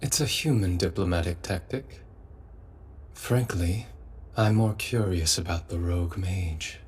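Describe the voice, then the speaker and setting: An actor playing a cold, calculating demon envoy in an anime dub, composed, measured and politely condescending. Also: male voice, low voice, slight background noise. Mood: cool, disdainful. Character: cold, calculating aristocratic male demon envoy, smoothly deceitful. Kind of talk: English anime dub acting.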